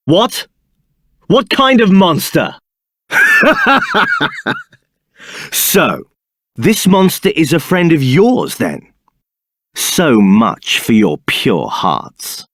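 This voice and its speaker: A cold bossy templar captain in a game, sneery and clipped, voice swings up and down a lot, dry sound. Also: male voice, medium pitch, a bit bright, no room sound, full character voice. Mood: interrogating, menacing. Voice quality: haughty, clipped, sneering delivery. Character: arrogant, contemptuous male templar captain, cold and domineering. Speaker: game character voice. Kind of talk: video game voice acting.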